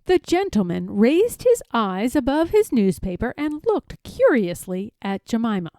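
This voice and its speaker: A woman narrating a children's story from a script, expressive and careful in her phrasing. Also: on the high side, measured pace, dry recording. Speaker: storybook reader.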